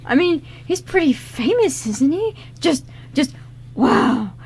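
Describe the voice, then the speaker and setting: A woman's voice: high voice, sing-song, takes it slowly, a little mellow, exaggerated voice. A woman voicing a nervous teenage boy with a crush in an amateur radio play, stammering and flustered. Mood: amazed, excited. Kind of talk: amateur radio script reading.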